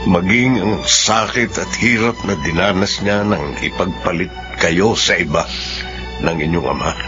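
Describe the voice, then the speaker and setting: A Filipino man acting in a radio drama as a grave, compassionate uncle with a measured, sorrowful manner. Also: deep voice, highs cut off. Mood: sorrowful, reflective. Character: solemn, compassionate older uncle, a family confidant. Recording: band-limited radio drama audio under music.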